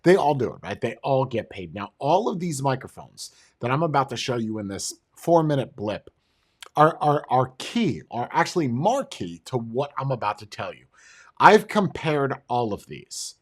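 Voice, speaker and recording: A man's voice: medium pitch, very animated, normal speed, breathy edge. A man reviewing gear in a casual, rambling talk straight to his viewers, restarting sentences as he goes. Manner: persuasive, addressing viewers directly. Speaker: tech product reviewer.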